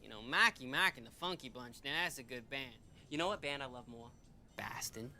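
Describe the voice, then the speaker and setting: A teasing, pushy store clerk. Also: male voice, medium pitch, brisk, sharp tone, slight background noise. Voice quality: teasing, doing a mock accent.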